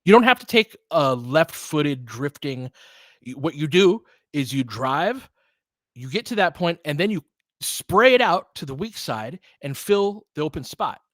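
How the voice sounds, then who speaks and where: A man's voice: fairly high pitch, sing-song, measured pace, a bit bright, no room sound. A man doing casual basketball play-by-play, mixing calls of the action with opinionated, conversational analysis and coaching the players aloud. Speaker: fan play-by-play commentator.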